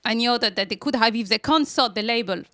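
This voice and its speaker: Woman, from France, fairly high voice, careful measured explaining, dry close sound. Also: bright, forceful tone, dry recording. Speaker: audience member. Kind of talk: studio discussion.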